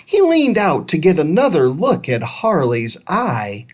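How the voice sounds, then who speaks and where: A woman reading a children's storybook aloud as an expressive narrator. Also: deep voice, a little slow. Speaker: storybook reader.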